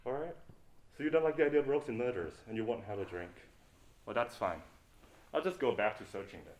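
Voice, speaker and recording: A man's voice: medium pitch, animated, fast, darkish tone, echoey room, lightly acted. A man in an amateur stage play acting a roguish, flirtatious adventurer who talks in a teasing way. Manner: smooth-talking, teasing.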